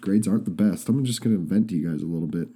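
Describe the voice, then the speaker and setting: A man's voice: low-pitched, fast, warm tone, breathy edge. A young man with a stuffy nose from a cold, hosting a casual podcast and chatting with many restarts. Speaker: podcast host. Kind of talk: casual chat.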